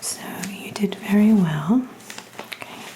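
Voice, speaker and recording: A woman speaking in a soft, breathy near-whisper, gently reassuring, as an examiner in an ASMR medical roleplay. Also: mid-range voice, lively intonation, slow, low-quality recording. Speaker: ASMR performer playing a hearing examiner.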